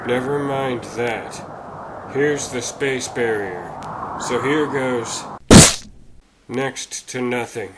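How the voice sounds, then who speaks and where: A man playing an earnest, slightly patronizing noble superhero in a cartoon comic dub, formal and measured. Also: mid-range voice, heavy background noise. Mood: resolute.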